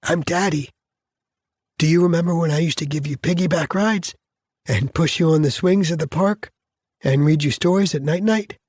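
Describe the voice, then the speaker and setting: Man acting a tender anxious father, lifted pitch. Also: quick pace, hardly any pauses, husky, dry recording, clean studio sound. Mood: tender pleading. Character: tender, anxious imprisoned father talking to his little daughter.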